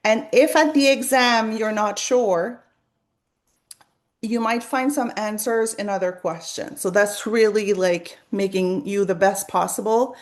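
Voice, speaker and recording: A chatty real estate agent walks viewers through her study routine. Her voice sits at an ordinary middle pitch, and she talks in an encouraging, loose way, often restarting her sentences. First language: French. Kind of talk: casual tutorial vlog.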